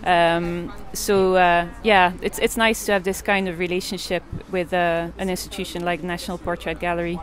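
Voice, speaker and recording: A woman's voice: lowish voice, little pitch movement, moderate pace, hardly any pauses. A woman in her forties from Belgium, an artist and photographer answering interview questions in a hesitant way, with many fillers and restarts.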